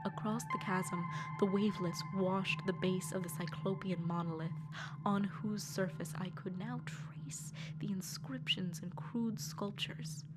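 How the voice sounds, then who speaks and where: A woman's voice: medium pitch, fairly even intonation, measured pace, lightly acted, low-quality recording. A woman playing an awestruck, dread-filled explorer recounting a horror in a theatrical, literary audio drama, over an ambient score. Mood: awed, frightened. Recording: loud ambient music underneath. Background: ambient musical score.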